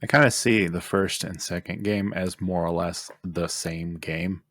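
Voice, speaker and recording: A man with a low-pitched voice talks casually on a podcast panel. He speaks in plain, short statements, and the sound is dry with hardly any room in it. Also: steady tone, unhurried, a bit bright, no room sound. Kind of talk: casual chat.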